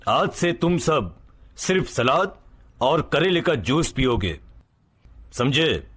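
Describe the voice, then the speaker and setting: A man playing an authoritative family doctor in a cartoon story, with a husky voice and lecturing manner. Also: on the high side, big pitch swings, takes it slowly, fairly bright tone, full character voice. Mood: stern, scolding. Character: stern, scolding male family doctor.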